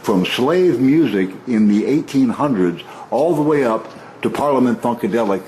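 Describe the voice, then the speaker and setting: A man from the Washington DC area in his sixties, a veteran radio broadcaster telling stories in a relaxed interview, often restarting his sentences. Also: mid-range voice, moderate pace, slight background noise, rough recording. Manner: warm reminiscing, frequent restarts and soft laughs.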